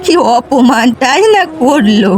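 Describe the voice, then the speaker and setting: A woman voicing a poor, proud, gentle old village woman in a cartoon, speaking plaintively and earnestly. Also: high-pitched, expressive, slow, background noise. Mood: sorrowful. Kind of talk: Bengali cartoon voice acting. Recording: music behind the voice.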